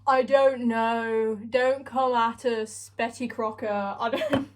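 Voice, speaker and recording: A woman teasing her friend with quick wisecracks while baking in a home kitchen. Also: a little high, steady tone. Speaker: co-host baking for a video. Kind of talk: casual chat.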